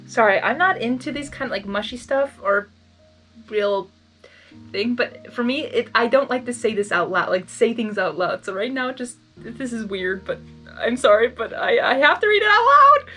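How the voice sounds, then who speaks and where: Higher-voiced woman reading aloud over music. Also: big pitch swings, normal speed, pushed and bright. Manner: stumbles over words, self-interrupting, reactive. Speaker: host reading fan fiction aloud and reacting. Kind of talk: blind reading with casual commentary. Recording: background music under the voice.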